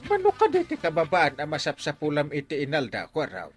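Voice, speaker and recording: An actor with an Ilocano accent playing an aggrieved, sputtering village captain in a radio comedy drama. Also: male voice, on the high side, wildly swooping pitch, a little fast, faint music behind. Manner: sputtering, exclamatory. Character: aggrieved, excitable male village captain who owns a tricycle.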